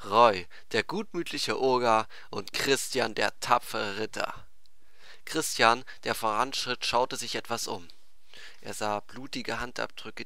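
A man's voice: mid-range voice, vocal fry, bright, forceful tone, dry recording. A man narrating a comic fantasy tale in a theatrical, emphatic scripted style. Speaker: storyteller.

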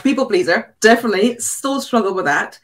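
A woman's voice: mid-range voice, animated, a little fast. Direct South African woman on a video call. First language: not English. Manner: direct, self-assured, talks with her hands. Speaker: business coach guest. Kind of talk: podcast interview. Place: office with glass walls, indoors.

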